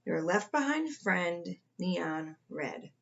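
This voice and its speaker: A woman's voice: lowish voice, big pitch swings, slow, gravelly, dull audio, heavy background noise, heavily acted. A woman voicing a breezy, clueless, forgotten storybook crayon in a chatty, questioning way. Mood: reproachful. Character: forgotten neon red crayon, breezy clueless reproachful traveller.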